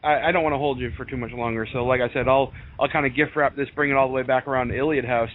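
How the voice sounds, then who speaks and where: A man's voice: medium pitch, fairly even intonation, racing. A young man hosting a casual interview, eager and agreeable. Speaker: podcast host, interviewer. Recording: noisy, narrow phone-like audio.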